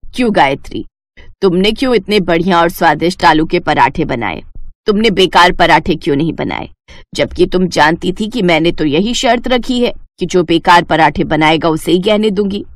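A woman voicing a stern, shrewd elderly mother-in-law in a cartoon moral story, speaking in a measured, commanding way. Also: fairly low pitch, animated, dry recording, pitched below the performer's own voice, natural voice. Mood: stern, questioning. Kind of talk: animated moral story dialogue.

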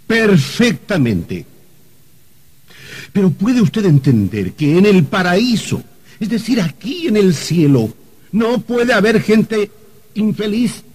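A man's voice: sits high, big pitch swings, takes it slowly, long pauses, a little mellow, husky, exaggerated voice. An actor playing an aggrieved, whining dead man in a radio drama, insistent and theatrical in his complaints. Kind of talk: Spanish-language radio drama acting.

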